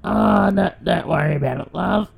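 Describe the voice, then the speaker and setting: A man's voice: high-pitched, moderate pace, vocal fry, slightly rough, voice pushed up, exaggerated voice. A man voicing an older Australian woman with a smoker's voice, with a chummy, drawling manner and an Australian accent. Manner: chummy, drawling, calls everyone love.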